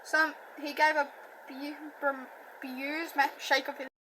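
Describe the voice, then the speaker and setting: A woman reading a novel aloud, halting and self-correcting, with a stutter and a slight lisp. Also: high voice, flat delivery, background noise. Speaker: audiobook reader. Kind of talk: amateur book reading.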